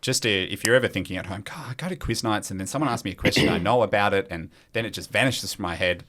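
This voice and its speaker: A man's voice: lowish voice, fast talker, fairly bright tone. A man with an Australian accent hosting a quiz with casual, chatty banter. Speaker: quiz show host.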